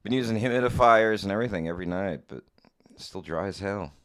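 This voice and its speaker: A man co-hosting a podcast, speaking in a laid-back, offhand way with a slightly hoarse voice. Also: on the low side, quick pace, fairly bright tone. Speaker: podcast co-host. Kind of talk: casual podcast chat.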